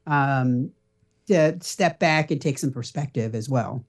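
A man's voice: medium pitch, slow pace. Earnest middle-aged man, interview guest. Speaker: podcast guest, parenting blogger. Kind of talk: conversational interview answers.

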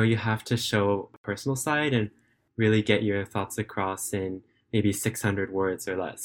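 A young man in his late teens answers interview questions over a video call. He talks in a relaxed, earnest way, often hedging with fillers. His voice sits somewhat low. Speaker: remote English tutor and high school student.

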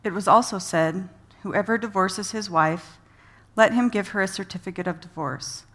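A woman reads a passage of scripture aloud. She has an ordinary, middle-pitched voice. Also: moderate pace, a bit bright. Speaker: scripture reader. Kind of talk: read-aloud Bible passage.

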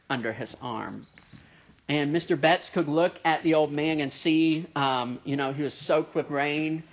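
A man preaching a sermon in a conversational way, with a nasal voice and many "uh" fillers and "right?" asides. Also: medium pitch. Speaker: pastor. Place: church service with a pianist present. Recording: dull, muffled sermon recording.

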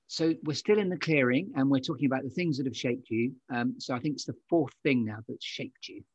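A man's voice: medium pitch, fairly quick, husky, no echo, highs cut off. A man hosting a podcast, interviewing and summing up what his guest has said. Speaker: podcast host. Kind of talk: interviewing, summarising the guest's story.

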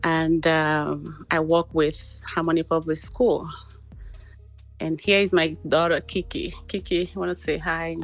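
Woman, middle-aged, slow, polite, calm, hesitant fillers, at home. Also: deep voice, dull audio, faint music behind. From Nigeria. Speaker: guest, mother. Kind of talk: interview answers. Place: living room at home.

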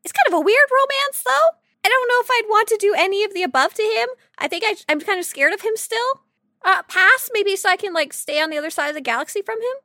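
A woman's voice: very high-pitched, expressive, fast talker, bright, forceful tone, no echo, studio quality. A woman co-hosting a podcast, chatting casually about a video game in a gushing, playful, informal way. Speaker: podcast co-host.

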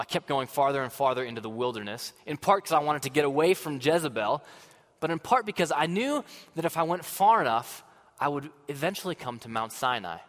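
A man playing a weary, passionate older prophet in a dramatic monologue to a congregation, earnest and swinging from fervent outbursts to hushed sadness. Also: mid-range voice, close to an everyday voice. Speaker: dramatic first-person storyteller. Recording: long hall reverb.

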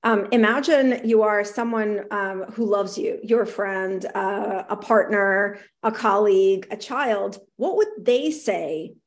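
A middle-aged woman hosting a live show, upbeat and encouraging, reading viewers' messages aloud, her voice slightly raspy. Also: mid-range voice, slow pace, few pauses. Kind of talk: live-streamed talk to viewers.

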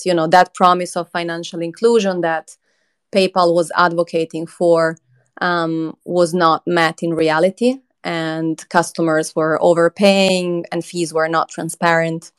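Woman, thirties, from Croatia, measured and earnest, steady low tone, clear smooth voice. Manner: earnest, measured, frequent ums. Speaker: crypto company CEO, guest. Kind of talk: conversational interview.

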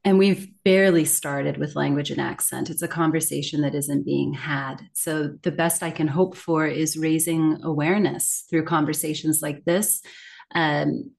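Earnest woman with a lowish voice. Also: fairly even intonation, normal speed, gravelly, darkish tone. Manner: earnest, emphatic, articulate. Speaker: guest, communication coach and author. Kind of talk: reflective interview talk.

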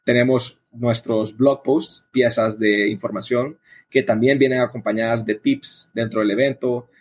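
Casting: male interview guest, husky mid-range voice, explanatory and conversational delivery. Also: mid-range voice, fairly even intonation, measured pace. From El Salvador. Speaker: interview guest, coffee event organizer.

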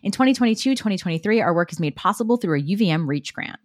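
A woman's voice: medium pitch, animated, racing, no room sound, clean studio sound. A woman with a New Jersey accent co-hosting a podcast, chatting in a wry, self-deprecating way. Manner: wry, self-deprecating, laughs at own jokes. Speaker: podcast co-host. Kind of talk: casual chat.

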